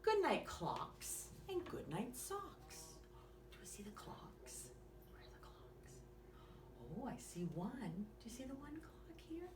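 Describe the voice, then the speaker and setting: A woman presents a children's storytime in a sing-song, lively voice that rises and falls widely. She takes her time and speaks straight to young listeners. The recording is roomy and echoey, picked up some way from the mic. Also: mid-range voice, slow pace, whispered. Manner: sing-song, simple questions addressed to small children. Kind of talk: read-aloud storytime and craft lesson for young children. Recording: echoey room, distant mic, faint noise.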